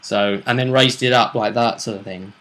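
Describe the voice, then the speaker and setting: A man's voice: on the low side, steady tone, normal speed, a bit bright. A man giving a casual, conversational recap of a tabletop role-playing game as its host, often trailing off mid-sentence.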